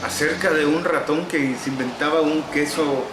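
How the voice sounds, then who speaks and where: A middle-aged man from El Salvador with a Salvadoran Spanish accent, talking through his life as a writer in an unhurried, reflective way, pausing on "eh" and adding wry humor. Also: a little high, fairly even intonation. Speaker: interviewee, novelist and musician. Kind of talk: relaxed recorded interview. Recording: echoey room with air-conditioning hum.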